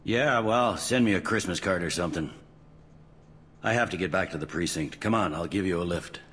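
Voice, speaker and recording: Weary game cop, low gruff voice, blunt wry delivery, long reverberant hall. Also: male voice, brisk, a bit bright. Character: gruff, world-weary male police captain. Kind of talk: video game character dialogue. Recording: long hall reverb, faint background noise.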